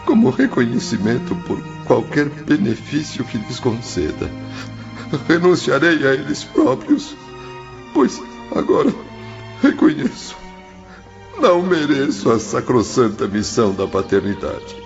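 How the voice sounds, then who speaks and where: An actor playing a grieving father who prays for the children he abandoned, in a pleading, gravelly voice. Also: mid-range voice, huge pitch swings, a little slow, full character voice. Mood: pleading prayer. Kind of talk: audio drama acting. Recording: dull lo-fi audio with music behind.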